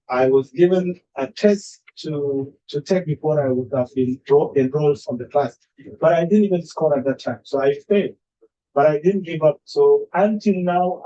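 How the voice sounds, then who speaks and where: A man from South Sudan, testifying slowly to a legislative committee with many pauses and fillers. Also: medium pitch, warm tone. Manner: slow, halting, many uh fillers. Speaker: adult education student testifying. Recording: dull lo-fi room audio with faint noise.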